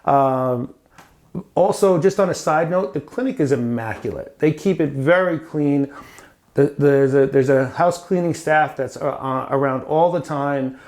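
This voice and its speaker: A man in his forties from the United States, asking questions in a relaxed, conversational way. He rambles a little, repeats himself and steps aside to speak straight to the viewers. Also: mid-range voice, unhurried. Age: 40s. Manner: chatty, friendly, digresses to the viewers. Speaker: patient interviewer. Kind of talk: casual interview.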